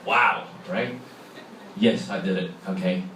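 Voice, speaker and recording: A man's voice: low voice, takes it slowly, pushed and bright, a little hiss or hum. A middle-aged man with a Hong Kong accent, giving a chatty public talk and asking the audience questions. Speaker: speaker. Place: large hall. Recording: echoey hall from far off, faint ambience.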